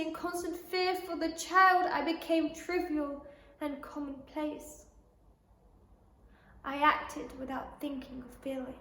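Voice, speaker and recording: A woman playing a weary, wounded young actress in a halting, drifting stage monologue. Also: high voice, fairly bright tone, echoey room. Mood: bitter, ashamed. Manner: halting, repeating, drifting between thoughts. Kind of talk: stage monologue, classic tragic drama.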